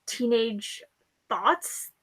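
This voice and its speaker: Female co-host, very high register, casual and outspoken book-club chatter. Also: animated, extremely slow, fairly bright tone, husky. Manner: frequent "like", blunt and informal. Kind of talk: casual book discussion chat.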